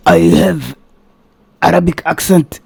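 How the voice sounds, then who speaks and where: A man's voice: fairly high pitch, steady tone, slow pace, vocal fry, noisy background. A man hosting a reaction video, talking casually in a sarcastic, exasperated way and swearing.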